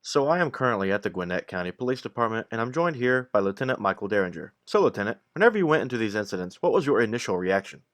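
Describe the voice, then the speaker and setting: A man playing a brisk TV field correspondent, asking interview questions in a conversational news style. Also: on the low side, very animated, fairly bright tone. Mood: inquiring.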